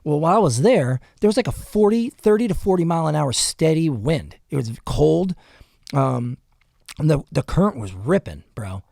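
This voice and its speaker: A man's voice: a little high, big pitch swings, normal speed, creaky, a little mellow, no room sound, studio quality. A man telling stories animatedly in casual podcast chat, often saying "bro". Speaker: podcast guest. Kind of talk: casual storytelling.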